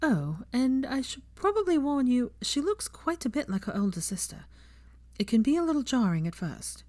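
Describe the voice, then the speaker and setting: A woman's voice: sits high, very animated, moderate pace, darkish tone, dry recording, pitched above the performer's own voice. A woman voicing a self-assured young witch who is cheerful, earnest and reassuring, in a story reading.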